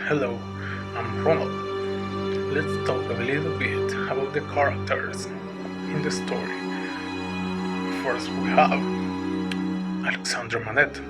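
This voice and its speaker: A man's voice: medium pitch, steady tone, slow, pauses often. A man presenting a literature analysis as scripted narration. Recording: loud music over the voice. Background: loud music.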